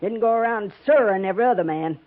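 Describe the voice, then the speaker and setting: A woman's voice: medium pitch, quick pace, lightly acted. A thin, tinny 1950s radio western recording carries an actress as a tough old American frontier woman. She is grasping and curt, scolding in plain folksy country speech. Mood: gruff, matter-of-fact. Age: older.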